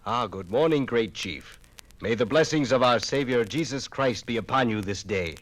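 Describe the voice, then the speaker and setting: An actor playing a courteous pioneer missionary in a 1950s radio drama, speaking in a patient, formal, pious way. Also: male voice, medium pitch, lively intonation, moderate pace, a bit bright, close to an everyday voice.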